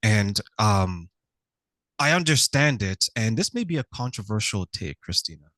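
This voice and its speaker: A man from Quebec, Canada, answering as a relationship coach in a conversational podcast interview, explaining things in a reflective, measured way. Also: lowish voice, sing-song, sharp tone, no echo.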